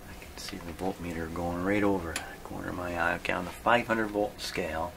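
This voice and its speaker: Male repair-video host, very low creaky voice, unhurried and halting, talking himself through bench work over clear background noise. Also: fairly even intonation. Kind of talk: unscripted hands-on demonstration, thinking aloud. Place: radio repair workshop.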